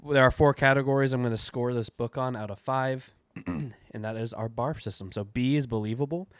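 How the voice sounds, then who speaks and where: A man's voice: mid-range voice, brisk, clear, resonant tone, no echo, studio quality. A man with a cold and a stuffy, nasal voice, chatting casually as host of a book review video. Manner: chatty, loose, with fillers and restarts. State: congested from a cold.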